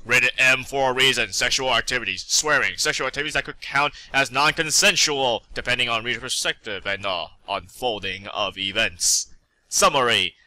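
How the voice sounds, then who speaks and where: Male reader for fan fiction narration: very bright, forceful tone, animated pitch. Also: no room sound, poor audio. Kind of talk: dramatic read-aloud narration.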